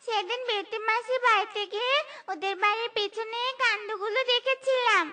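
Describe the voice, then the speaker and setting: A woman's voice: very high-pitched, fairly even intonation, a little fast, pushed and bright. A woman voicing an eager, innocent little girl bird in a cartoon, childlike and chirpy. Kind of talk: cartoon voice acting.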